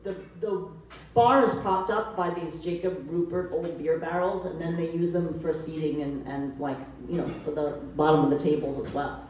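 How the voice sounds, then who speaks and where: A woman with a thick New York accent tells stories loosely, circling back with fillers and restarts. Her voice sits a little low. It is picked up from a distance in a very reverberant room. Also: steady tone, moderate pace, a little mellow, slight background noise. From New York City. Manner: rambling, full of fillers and restarts. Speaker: author and photographer. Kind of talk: casual book talk. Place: event room. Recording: roomy, distant from the mic.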